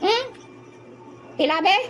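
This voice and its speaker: A woman's voice: extremely high pitch, slow pace, noisy background. A woman voicing a silly, cheeky young boy in a comic audio drama, playful and full of questioning little interjections. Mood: mock earnest. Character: silly boy joker Noy Noy, cheeky and simple.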